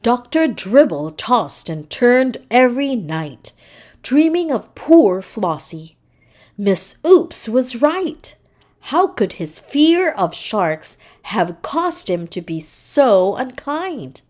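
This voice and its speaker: A woman narrates a children's story in an expressive read-aloud style. Her pacing is extremely slow and deliberate, and her pitch moves in lively, dramatic swings.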